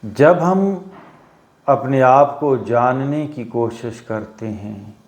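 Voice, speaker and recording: A man teaching guided meditation, giving instructions in short phrases with long pauses between them. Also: mid-range voice, darkish tone. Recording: roomy echo on the voice.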